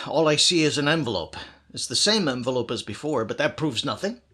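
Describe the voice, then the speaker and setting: A man's voice: mid-range voice, expressive, brisk, heavy background noise, close to an everyday voice. An actor playing an anxious, scheming man turned cold-blooded killer in an old-time radio thriller, terse and commanding. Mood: nervous, uneasy.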